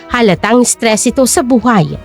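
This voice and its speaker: A woman's voice: a little high, expressive, normal speed, soft background music. A woman narrating a scripted story in a dramatic storytelling style.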